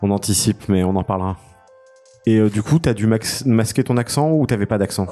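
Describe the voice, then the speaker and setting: A man's voice: on the low side, a little fast, warm tone, soft background music. A man co-hosting a podcast, bantering casually and asking the guest questions. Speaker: podcast co-host.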